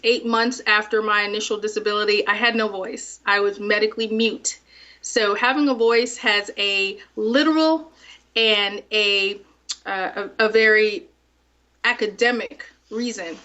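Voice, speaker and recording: A woman's voice: medium pitch, fairly even intonation, a little slow, bright, forceful tone. A woman from South Florida, a native French speaker, giving conversational answers as an interview guest, in lo-fi sound. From South Florida, multi-ethnic Caribbean family. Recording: dull, lo-fi sound.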